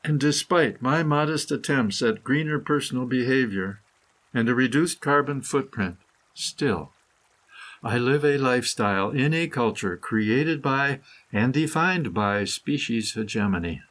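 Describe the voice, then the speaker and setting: A man reading his own scripted meditative prose poem in a solemn, deliberate manner with dramatic phrasing. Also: mid-range voice, lively intonation, a bit bright. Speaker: author reading own essay.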